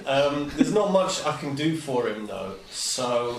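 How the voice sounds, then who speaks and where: A man's voice: mid-range voice, sing-song, slow pace, a crowd in the background. A man chatting casually as a player in a tabletop game, playful and rambling as he improvises. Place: echoey indoor room. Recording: roomy, echoing home recording.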